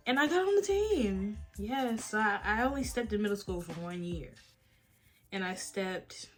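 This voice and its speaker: A young adult woman, chatting casually and telling stories in a late-night Q&A at home. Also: medium pitch. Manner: chatty, animated storytelling with lots of "like" and "y'all". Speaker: vlogger. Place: at home, face mask on.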